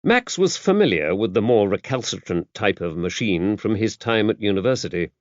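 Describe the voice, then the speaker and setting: Lowish-voiced British male audiobook narrator. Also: lively intonation, normal speed, no room sound, muffled recording. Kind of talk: scripted narration of a mystery novel.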